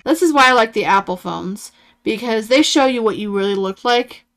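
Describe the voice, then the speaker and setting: A woman's voice: on the high side, animated, moderate pace, a bit bright. A woman in her thirties giving casual reaction commentary in a blunt, sarcastic and profane way. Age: 30s.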